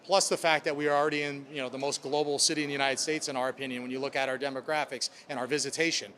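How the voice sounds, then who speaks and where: A man's voice: mid-range voice, flat delivery, racing, a bit bright. A man speaking as a club president, answering reporters' questions in upbeat corporate language. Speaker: sports club president at a press conference.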